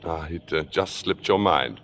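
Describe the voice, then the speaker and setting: A man's voice: deep voice, a bit bright. A man playing an urbane, needling theater sophisticate in an old radio drama, pompous and droll, with pointed hesitations. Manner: pompous, droll, deliberate stammering pauses. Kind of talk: 1950s radio drama acting. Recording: reverberant vintage radio recording.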